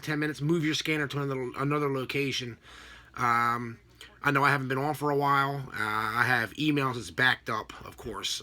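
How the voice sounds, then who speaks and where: A middle-aged American man giving a casual, unscripted explanation to camera in a halting, rambling way. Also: medium pitch, sharp tone. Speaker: vlogger, radio scanner hobbyist. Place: small home room with a desk, indoors. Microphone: computer webcam at desk distance.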